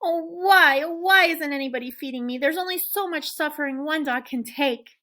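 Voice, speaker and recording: Woman doing high, whimpering young male dog; excitable, pleading, storybook reading. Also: moderate pace.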